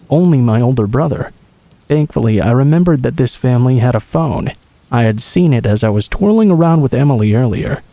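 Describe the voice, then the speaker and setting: A man with a mellow, darker tone reads a first-person horror story aloud. His pace is measured and suspenseful, and the recording is dry and close with no room echo. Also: mid-range voice.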